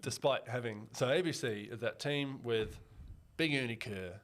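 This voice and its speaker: A man's voice: medium pitch, normal speed. Chatty young New man. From New Zealand. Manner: upbeat, chatty, laughs easily. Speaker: podcast co-host. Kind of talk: casual sports podcast chat.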